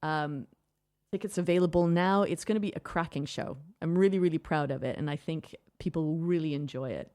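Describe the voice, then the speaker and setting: A woman's voice: deep voice, brisk, darkish tone. A woman from Canada with a Canadian accent, a theatre director explaining her production in a radio studio interview with enthusiasm and long, flowing answers.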